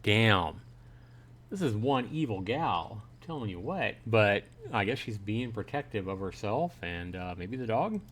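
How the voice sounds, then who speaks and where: A man's voice: fairly low pitch, very animated, measured pace, fairly bright tone. A man hosting a livestream, reading a visual novel aloud and commenting casually to his viewers. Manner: conversational, chatting with viewers. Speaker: livestream host. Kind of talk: casual game commentary and read-aloud narration.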